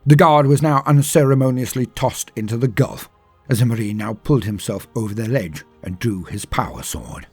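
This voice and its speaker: A man's voice: mid-range voice, normal speed, breathy edge, dry recording, soft background music. A man narrating a scripted story in a dramatic, theatrical and emphatic manner. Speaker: storyteller and lore channel host.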